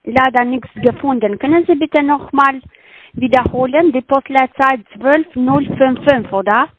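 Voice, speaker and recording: A woman's voice: high voice, unhurried, slight background noise. A woman calling as a sales agent over the phone, polite, speaking in broken non-native grammar. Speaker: sales caller. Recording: dry dull phone line.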